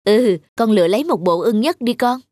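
Gentle, kindly young mother. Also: on the high side, lively intonation, fairly quick. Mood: gentle, fond. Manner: indulgent, polite. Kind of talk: cartoon voice acting. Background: music.

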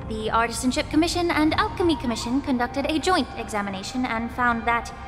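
Poised young alchemist, actress voicing, fairly high, measured and analytical, game dub, reverb, music under. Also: female voice, close to an everyday voice. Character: poised young woman alchemist, calm and thoughtful. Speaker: game character. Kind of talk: dubbed game dialogue.

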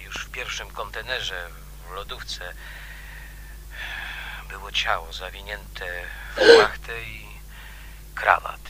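An actor playing a grave, matter-of-fact investigator in a radio mystery, reporting in terse, deliberate phrases. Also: male voice, fairly low pitch, bright, forceful tone, a little hiss or hum, poor audio. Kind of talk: radio mystery drama acting.

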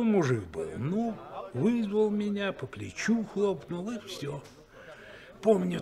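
An actor playing a gruff, soft-hearted middle-aged boatswain with a gravelly voice, in clipped colloquial speech for a 1970s radio play. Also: male voice, sits high, exaggerated voice.

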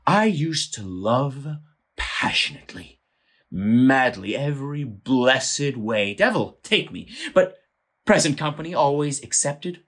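Woman playing a husky, blustering, scornful gentleman. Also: very deep voice, lively intonation, takes it slowly, full character voice. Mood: scornful ranting. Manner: declamatory, sardonic, emphatic. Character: blustering, scornful, hot-tempered Russian gentleman, a boorish creditor. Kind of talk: theatrical audio play comedy acting.

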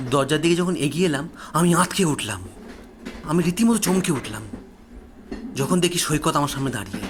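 A man telling a first-person horror story as a dramatic narrator, with suspenseful, deliberate phrasing. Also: fairly high pitch, background noise.

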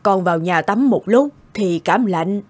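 A woman playing a kindly, forgiving old grandmother, gentle and consoling, in an animated family drama. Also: mid-range voice, unhurried, husky. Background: loud music.

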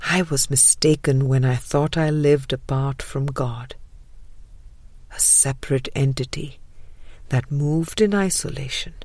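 A woman reading a spiritual audiobook in a breathy voice that trails into whispery phrase endings, with a measured, gentle, meditative delivery. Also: a bass voice, dry recording. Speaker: audiobook reader. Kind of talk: scripted spiritual audiobook narration.

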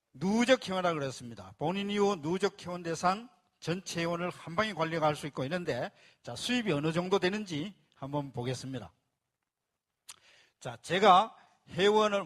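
A man presenting a membership plan to a live audience, explaining step by step and repeating key phrases. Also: on the high side. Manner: speaks to a live audience and asks for applause.

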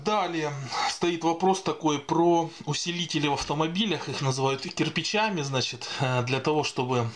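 A man giving an informal, improvised explainer voice-over in a hesitant, halting manner. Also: medium pitch, fairly bright tone. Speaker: video host explaining radio equipment.

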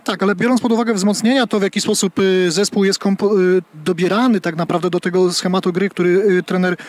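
A man in his forties interviewing on a football pitch with a handheld microphone, halting often with filled pauses. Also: steady tone. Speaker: sports interviewer. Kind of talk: on-location sports interview questions. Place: outdoors on a football training pitch. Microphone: handheld reporter microphone.

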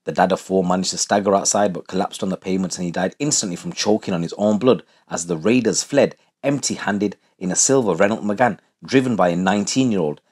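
A man with a husky voice reading scripted true crime narration. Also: deep voice, fast, hardly any pauses, darkish tone.

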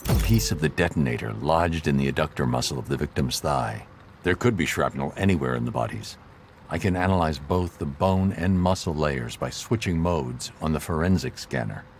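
An actor playing a grim, stoic vigilante detective in a video game, terse and analytical. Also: male voice, very deep voice, slight background noise.

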